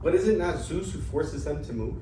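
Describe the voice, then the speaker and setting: A man's voice: a little high, animated, a little fast, lightly acted. A man playing an earthy, bumbling, debt-ridden would-be student in a theatrical reading of an ancient Greek comedy, with exclamatory delivery. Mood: curious, baffled. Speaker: amateur actor in a staged play reading. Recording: echoey room, distant mic, background noise.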